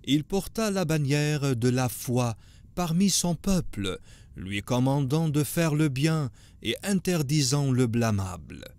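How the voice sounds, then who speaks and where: A man narrating a scripted religious story for a cartoon in a solemn, measured manner. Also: fairly high pitch, lively intonation, a little mellow.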